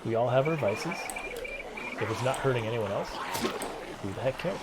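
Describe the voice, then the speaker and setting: A man streaming a game and chatting with viewers in a relaxed, rambling way, with a soft, soothing voice. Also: mid-range voice, normal speed, heavy background noise. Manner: relaxed, rambling, many fillers and restarts. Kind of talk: casual gameplay stream chat.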